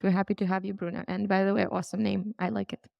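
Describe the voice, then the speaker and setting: A woman's voice: medium pitch, level tone, quick pace, vocal fry, no room sound, lo-fi sound. A woman hosting a podcast interview, speaking in an upbeat, welcoming way. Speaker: podcast host.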